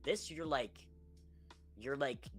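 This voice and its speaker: A man's voice: medium pitch, slow, a bit bright. A man co-hosting a gaming podcast, giving opinions in casual chat and restating his points as he goes. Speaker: podcast co-host discussing video games. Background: background music.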